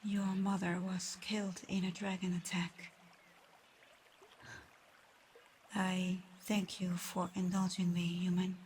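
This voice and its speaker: A woman playing a weary, proud but gentle female dragon in a soft, breathy, measured ASMR roleplay. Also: lowish voice, completely flat delivery, a little hiss or hum, close to an everyday voice. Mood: guarded, sympathetic. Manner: soft, breathy, half-whispered, measured delivery. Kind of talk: ASMR roleplay, scripted one-sided dialogue.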